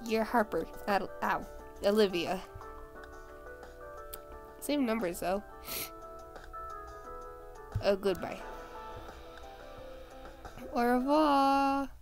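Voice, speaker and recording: A woman's voice: on the high side, extremely slow, pauses often, faint music behind. A young adult woman giving casual let's-play commentary, chatting and muttering asides to herself. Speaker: gaming video creator.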